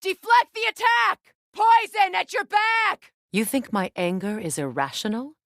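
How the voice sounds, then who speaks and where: A woman's voice: very high-pitched, unhurried, a bit bright, no room sound, clean studio sound, heavily acted. An actress playing a proud, middle-aged Norse goddess warrior and grieving mother in a video game, with earnest, formal delivery. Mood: urgent battle shouting. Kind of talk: dramatic game dialogue.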